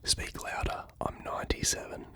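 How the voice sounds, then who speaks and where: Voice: normal speed, natural voice. A reader whispering the lines of a cranky, hard-of-hearing man in his nineties. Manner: whispered, shouting-style complaints.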